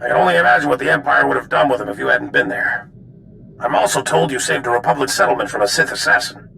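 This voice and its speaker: A stern general with a heavily gravelled, forceful voice. He briefs in a measured, authoritative and businesslike way, in the manner of a video game cutscene. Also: medium pitch, a little hiss or hum, poor audio. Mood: appraising.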